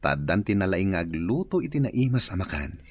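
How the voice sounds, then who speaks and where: A man's voice: low voice, very animated, normal speed, husky, exaggerated voice. A man playing an evasive, sweet-talking husband and father in a radio drama. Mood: affectionate. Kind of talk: Ilocano radio drama acting.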